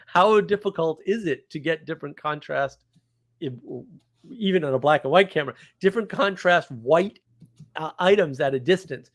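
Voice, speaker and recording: A man with a slightly high voice hosts a live stream in a casual, unscripted way. He rambles from thought to thought and states his opinions bluntly. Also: normal speed.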